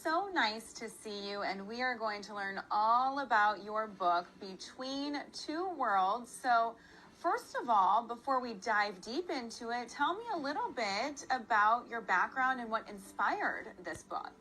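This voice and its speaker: A woman's voice: fairly high pitch, expressive, a little slow, bright, forceful tone, rough recording. A woman hosting a TV interview, asking questions in an upbeat, friendly way. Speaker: TV news host. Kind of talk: morning TV interview.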